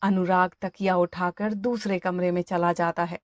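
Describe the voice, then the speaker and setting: A woman's voice: lowish voice, level tone, normal speed, no echo, studio quality. A woman telling a scripted dramatic story as its narrator.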